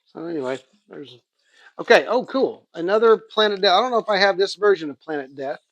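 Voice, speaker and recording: A man's voice: high-pitched, lively intonation, a little fast, a bit bright, no echo, dull audio. A man with an Oklahoma accent, rambling and thinking aloud as he casually shows off and reviews what he got. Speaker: comics channel host. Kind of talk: casual show-and-tell haul review.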